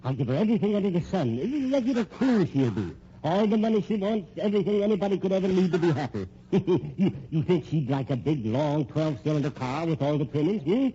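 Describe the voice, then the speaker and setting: A man's voice: a little high, animated, brisk, few pauses, dark tone, close to an everyday voice. An actor playing a gullible, tight-fisted rich old American man in an old radio crime drama, stammering, blustery and repeating himself. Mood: giddy. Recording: dull old radio recording, faint noise.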